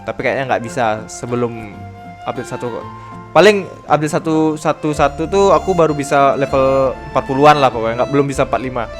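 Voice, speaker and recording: A man's voice: mid-range voice, fast. A young man with an Indonesian accent giving casual, slangy, rambling gameplay commentary. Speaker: gaming YouTuber. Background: game music.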